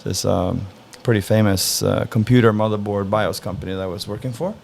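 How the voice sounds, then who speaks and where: Gravelly low-voiced man, relaxed guest. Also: slight background noise. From Norway. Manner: relaxed, thoughtful, frequent "uh" pauses. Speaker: interview guest. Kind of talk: casual interview answers.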